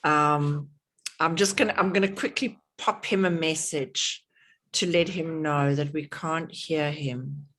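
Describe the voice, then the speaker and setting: A woman's voice: low voice, steady tone, a little slow, a bit bright. A middle-aged woman with a South African accent hosting an online workshop over a video call, chatty, with many fillers and restarts. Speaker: workshop host.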